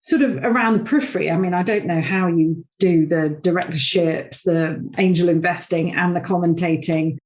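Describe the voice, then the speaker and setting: A woman's voice: lowish voice, normal speed, few pauses, darkish tone. A woman hosting a podcast interview over a video call, talking in a chatty, rambling way with many fillers. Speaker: podcast host, awards founder. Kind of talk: conversational interview.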